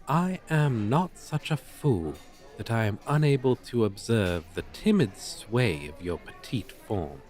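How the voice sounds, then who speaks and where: A man's voice: medium pitch, darkish tone. A man playing a pompous, flirtatious dragon teacher in an ASMR roleplay, speaking in florid, formal, condescending phrases. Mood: flirtatious, teasing. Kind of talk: ASMR roleplay, slow theatrical delivery.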